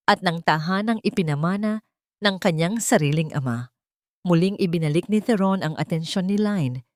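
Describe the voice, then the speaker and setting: A woman's voice: fairly low pitch, darkish tone, no room sound, studio quality. A woman reading scripted audio drama narration as a storyteller, in an earnest, emotive manner.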